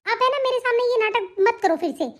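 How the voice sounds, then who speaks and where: A woman's voice: extremely high pitch, quick pace. A woman voicing a domineering, scornful young daughter-in-law in a family cartoon drama, bossy and scolding. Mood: scornful, angry.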